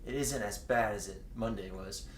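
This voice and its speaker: A man's voice: lowish voice, moderate pace. A man doing a casual, joking read-aloud of fan fiction, tossing in teasing asides. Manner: tosses in crude, teasing asides. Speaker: guest co-reader of fan fiction. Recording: roomy echo with clear background noise.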